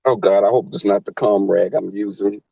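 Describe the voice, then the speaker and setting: A man with a Southern accent hosting a live stream in casual chat, crass and flamboyant, often leaping into high squeals. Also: steady tone, moderate pace, gravelly, mellow, husky, no echo. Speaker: livestream host. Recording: dull lo-fi stream audio.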